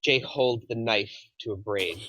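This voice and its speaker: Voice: medium pitch, measured pace, fairly bright tone. A narrator reading out stage directions from a film script at a table read. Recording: dry but dull, muffled audio.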